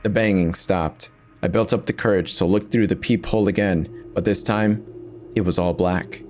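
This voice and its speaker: Male narrator, lowish register, theatrical first-person horror reading, light music bed. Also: fairly even intonation, normal speed, darkish tone.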